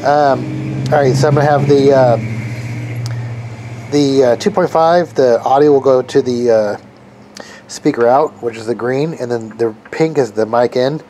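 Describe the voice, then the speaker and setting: A man's voice: medium pitch, unhurried, long pauses. An American man giving a casual, rambling hands-on demonstration, tentative and informal as he thinks aloud. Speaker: hobbyist video maker demonstrating a radio setup. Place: open garage workbench. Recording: steady ambient noise, home garage camera audio.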